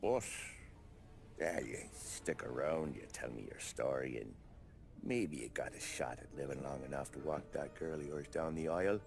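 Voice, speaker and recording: A man's voice: rumbling low, normal speed, vocal fry, darkish tone. A man playing a world-weary, shrewd police detective in a video game, with an American accent and a sardonic, slangy, probing manner. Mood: pressing, knowing. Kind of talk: cinematic video game acting.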